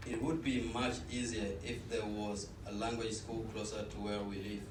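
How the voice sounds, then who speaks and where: A man's voice: lowish voice, little pitch movement, normal speed. An eighteen-year-old man from South Sudan, a student cultural ambassador, reading an earnest personal statement with small restarts. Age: teens. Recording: echoey room, distant mic, noisy.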